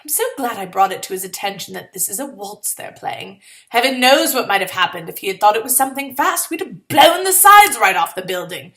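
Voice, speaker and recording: Woman acting out a sardonic, melodramatic young woman, wildly animated. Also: mid-range voice, brisk. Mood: exasperated. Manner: sarcastic, melodramatic. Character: sardonic, exasperated 1920s young woman's inner monologue at a dance. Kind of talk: dramatic first-person short story reading.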